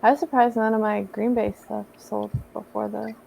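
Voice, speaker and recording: Soft-spoken woman with a gravelly edge. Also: mid-range voice, flat delivery, moderate pace, a little hiss or hum, low-quality recording. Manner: gentle, laughs easily. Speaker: guest. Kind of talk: casual chat.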